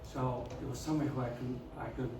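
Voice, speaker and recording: A man's voice: mid-range voice, steady tone, fast talker. Man who stutters, very echoey far sound. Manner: stutters, many restarts and fillers. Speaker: speaker telling his story. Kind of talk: personal story talk to an audience. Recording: very echoey, far from the mic, noisy.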